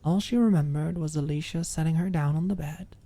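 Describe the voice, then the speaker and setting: A woman reading a scripted story as an audiobook narrator, in an expressive ASMR storytelling style. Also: sits low, a little fast.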